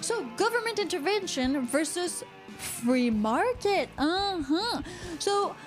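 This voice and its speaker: A woman, co-hosting a casual podcast, chatty and quick to laugh while reading out viewer comments. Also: extremely high pitch, sing-song, soft background music. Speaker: podcast co-host. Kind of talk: casual livestream podcast chat. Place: Vancouver, Canada.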